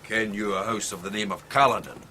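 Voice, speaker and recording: An actor playing a hearty, seasoned Scottish soldier in a radio drama, genial and formally courteous. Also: male voice, fairly low pitch, fairly even intonation, a little fast, pushed and bright, a little hiss or hum.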